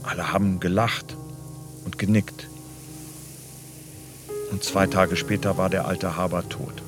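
An actor playing a sober, probing grown son who speaks and narrates matter-of-factly in a radio play. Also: male voice, sits low, level tone, unhurried, music in the background. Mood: sober, grim. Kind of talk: radio play dialogue and first-person narration.

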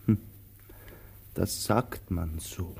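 A man playing a weary, anxious, pensive tramp in a radio play, speaking with halting, brooding pauses. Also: low-pitched, gravelly, darkish tone, breathy edge, full character voice. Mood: resigned. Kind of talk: German radio play acting.

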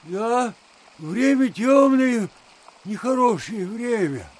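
An actor playing a rough peasant coachman out at night in an audio drama, with a drawn-out rustic delivery. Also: very high-pitched, lively intonation, full character voice. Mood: gloomy.

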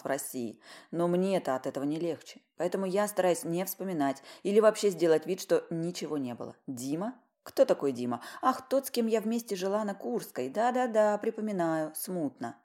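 Audiobook narrator, female, low register, expressive first-person storytelling with a wry, ironic edge. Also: a little fast, few pauses.

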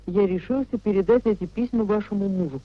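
An actress playing a proud, indignant married society lady with measured, formal delivery in a 1950s radio play. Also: medium pitch, close to an everyday voice. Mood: reading coldly. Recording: dull 1950s radio drama recording.

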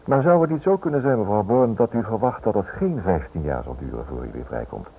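A smooth, nosy writer in a radio play. His low voice moves with lively swings, politely insinuating and never letting go. The old recording sounds dull and noisy. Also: a little fast, exaggerated voice. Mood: insinuating, probing. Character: smooth, prying male writer probing a prisoner's wife.

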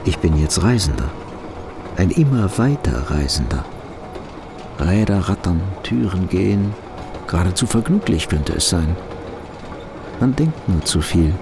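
Casting: haunted travelling fugitive, low creaky voice, inner monologue, music behind, radio play. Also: male voice, big pitch swings, measured pace, pauses often, full character voice. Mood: brooding. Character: nervous, despairing fugitive businessman muttering to himself.

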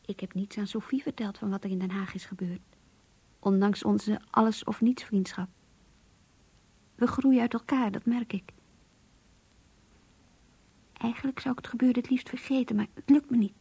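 Husky, confiding young woman diarist. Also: mid-range voice, fairly even intonation, moderate pace, long pauses, mellow, no room sound. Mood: wistful, troubled. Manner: intimate, reflective, confiding. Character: earnest, introspective young woman confiding in her diary. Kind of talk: radio drama diary monologue.

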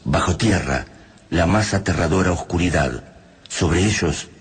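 A man with a Rioplatense accent narrating a radio drama in a grave, ominous, deliberate manner. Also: low voice, slightly rough. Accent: Rioplatense Spanish. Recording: narration over loud music.

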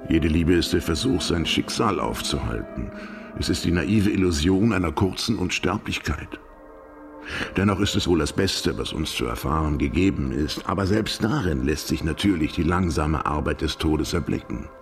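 Fatalistic radio-drama lover, bottomless gravelly voice, brooding, philosophical, deliberate. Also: male voice, very deep voice, expressive, faint music behind, exaggerated voice. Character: fatalistic, world-weary philosophizing male lover resigned to death. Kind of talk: German radio drama acting.

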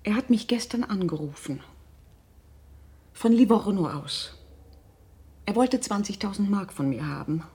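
An actress playing a worried, wealthy middle-aged mother, husky and breathy, formal and reserved, in a naturalistic radio drama. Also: mid-range voice, expressive, unhurried, long pauses, mellow, full character voice. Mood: worried, guarded.

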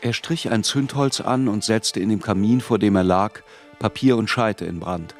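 A reflective male narrator played by an actor tells of his youth in a literary radio drama. His voice is fairly low, and quiet music plays underneath the scripted prose. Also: moderate pace, close to an everyday voice. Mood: reflective, descriptive.

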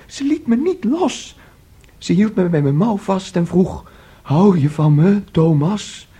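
Anxious boyish young man, soft dark tone, slightly high, halting and repetitive, naive, radio play. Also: lively intonation, husky, exaggerated voice. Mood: frightened, breathless. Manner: agitated, halting, repeating himself. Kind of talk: Dutch radio play acting.

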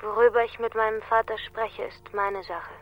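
An actress playing a bitter, defiant grown daughter in a German radio crime drama, curt and controlled. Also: female voice, on the high side, little pitch movement, normal speed, dull audio, faint music behind, close to an everyday voice. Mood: cold, dismissive.

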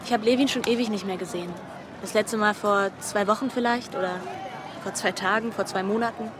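Teenage girl, played by an actress, aloof and defiant, offhand monologue for radio drama, out among loud crowds. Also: medium pitch, fairly even intonation, brisk, lightly acted. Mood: dismissive. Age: young adult. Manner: offhand, dismissive. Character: aloof teenage schoolgirl, missing boy's girlfriend, coolly defiant. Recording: busy outdoor crowd noise behind.

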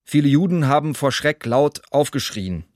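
A man's voice: on the high side, expressive, normal speed, a little mellow, no room sound, studio quality, lightly acted. A man playing a German perpetrator of wartime shootings, giving sober, self-pitying testimony in a documentary radio drama. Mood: sober, matter-of-fact.

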